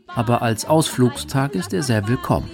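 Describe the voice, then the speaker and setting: A man's voice: medium pitch, animated, moderate pace, darkish tone, faint music behind. A man narrating a scripted radio documentary. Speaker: documentary narrator and quote reader.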